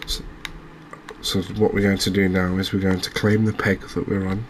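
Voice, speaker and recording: A man giving gentle, unhurried ASMR commentary over a cozy fishing game, with hesitant, understated phrasing. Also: low voice, level tone, slight background noise. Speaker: host, video game streamer.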